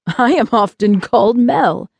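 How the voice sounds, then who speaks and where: A woman's voice: a little high, lively intonation, measured pace, vocal fry, a little mellow, no echo, pitched above the performer's own voice, heavily acted. A woman voicing a chubby, jovial man from space in an audiobook, emphatic and eager to agree.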